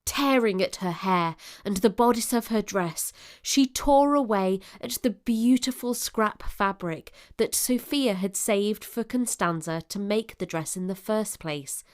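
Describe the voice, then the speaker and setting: A woman narrator reading a scripted period drama in an expressive, literary storytelling manner. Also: medium pitch.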